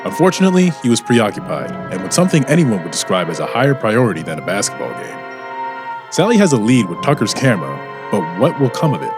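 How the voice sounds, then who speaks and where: A man's voice: fairly low pitch, sing-song, quick pace. A man narrating a scripted story outro as the show's narrator, in a teasing, theatrical manner. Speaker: show narrator. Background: music.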